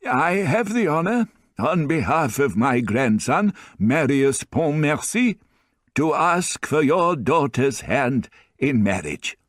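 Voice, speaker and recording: A man's voice: on the high side, sing-song, dry recording, clean studio sound, pitched above the performer's own voice. A man voicing a doting, tearful old grandfather. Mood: gracious. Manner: slow, fond and emphatic.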